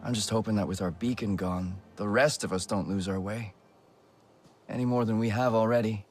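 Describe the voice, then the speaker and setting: An actor playing a lost, grieving defector in a video game, earnest and unsure, with a hesitant, reflective manner. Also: male voice, on the low side, fairly even intonation, normal speed, a little mellow, faint music behind, lightly acted. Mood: wistful, lost. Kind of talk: video game character acting.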